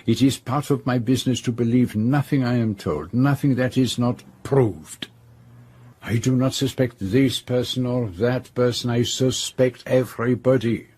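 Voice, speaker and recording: A courteous, shrewd private detective from a radio mystery. His voice is husky and sits at an ordinary pitch. He speaks in a measured, probing way, always polite and never letting go. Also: darkish tone. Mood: persistent, shrewd. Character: shrewd, courteous foreign private detective, persistent but gentle. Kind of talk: audio drama dialogue.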